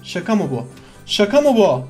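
A man hosting a gaming video, bantering casually with a friend in an excitable, slangy, exclaiming way. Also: sits high, normal speed, faint music behind.

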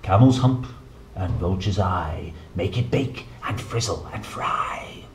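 A man voicing a female storybook witch who chants rhyming spells theatrically, sometimes hushed. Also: low-pitched, lively intonation, slow pace, recorded from a distance, pitched below the performer's own voice. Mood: spell-casting. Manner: incantatory, hushed at times. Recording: roomy echo, some background noise.

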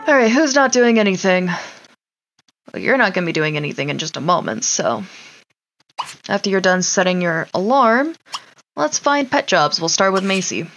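Woman casually narrating gameplay, lively pitch. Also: normal speed, long pauses, dull audio, soft background music. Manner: informal, sweary, talking to herself and game characters. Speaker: gaming streamer. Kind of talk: casual Let's Play commentary of a life simulation game. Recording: clear background noise, mouse clicks.

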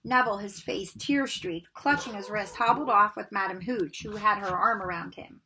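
A woman in her forties reading a children's novel aloud in an expressive storytelling style. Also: medium pitch, moderate pace, a crowd in the background, poor audio. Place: bedroom at home, indoors.

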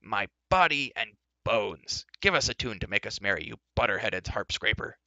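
A man's voice: on the low side, expressive, normal speed, gravelly, pushed and bright, dry recording, highs cut off, exaggerated voice. A man voicing a huge, hearty, blustering warrior king who bellows his words in a fantasy storybook. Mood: loud, hearty. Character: huge boisterous bellowing red-headed warrior king, battle-scarred. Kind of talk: fantasy audiobook character voice.

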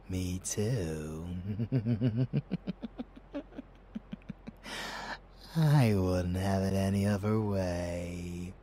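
A man playing a dark, obsessive vampire lover in an ASMR audio roleplay, with a theatrical, seductive delivery and murmurs. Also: low-pitched, slow pace, few pauses, slight background noise. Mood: adoring, possessive.

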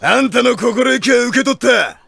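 An actor playing a cocky, hot-blooded young warlord in an audio drama, husky and brash, with curt slang and English catchphrases. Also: male voice, very high voice, moderate pace, loud music behind the voice, exaggerated voice. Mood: exhilarated. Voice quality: husky, rough. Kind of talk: Japanese anime-game audio drama acting.

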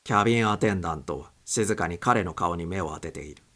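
A man's voice: low voice, brisk, sharp tone. A man narrating a suspense story as a dramatic audiobook reading.

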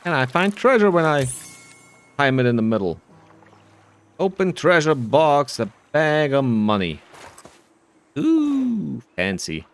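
Slow-paced, animated man doing game commentary. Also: a little high, soft background music. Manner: playful, self-talking, drawn-out musing. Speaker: video game player and narrator. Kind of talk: casual playthrough commentary.

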